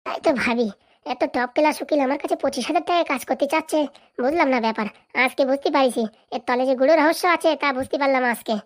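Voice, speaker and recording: Casting: actress for power-hungry female cartoon village boss, shrill-high, very quick, scolding. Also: lightly acted. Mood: scheming. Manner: bossy, menacing, scolding. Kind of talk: comedy cartoon dialogue.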